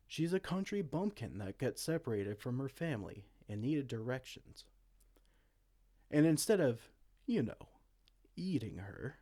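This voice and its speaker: A man's voice: medium pitch, dark tone. A man playing a dorky, petulant evil king in a comedic fantasy roleplay, pompous, theatrical and exasperated. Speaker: amateur roleplay audio performer.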